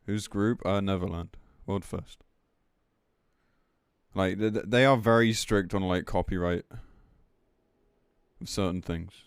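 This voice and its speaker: A man's voice: deep voice, steady tone, measured pace, long pauses, clear, resonant tone, no echo, clean studio sound. A man speaking as a game streamer in a casual livestream rant, blunt, sweary and exasperated as he reads and reacts. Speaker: game streamer commenting on news.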